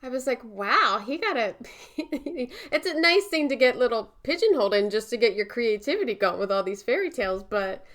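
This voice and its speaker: A woman with a fairly high voice hosts a casual podcast interview. She sounds enthusiastic and laughs often as she reacts to her guest. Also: big pitch swings, moderate pace, a bit bright. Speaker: podcast host.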